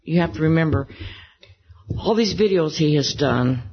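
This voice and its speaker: An older woman with a low voice, chatting as a guest in an interview and gently telling stories. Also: expressive, normal speed, breathy edge. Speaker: interview guest, gospel singer. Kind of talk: casual interview conversation. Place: music convention. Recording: slightly dull lo-fi recording.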